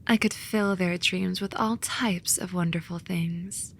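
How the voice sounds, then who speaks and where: A woman voicing a soothing but snarky sloth demon in a romantic ASMR roleplay, soft and coaxing with a dry edge. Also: fairly low pitch, steady tone, a little slow, slight background noise. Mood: boastful. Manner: soft, coaxing, dryly sarcastic, sometimes whispery.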